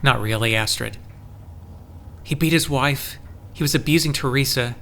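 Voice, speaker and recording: Guy acting earnest heartsick farm guy, audio drama, bright clear-edged voice, medium pitch, confiding. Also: normal speed. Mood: troubled, regretful. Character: troubled, earnest, lovelorn male farm resident.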